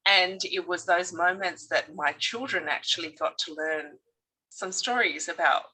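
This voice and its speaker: A middle-aged woman guest on a podcast, speaking reflectively with frequent pauses and restarts. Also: lowish voice, sharp tone, breathy edge, poor audio. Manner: hesitant, many fillers and restarts, reflective. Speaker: podcast guest, divorce coach. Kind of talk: casual conversational interview.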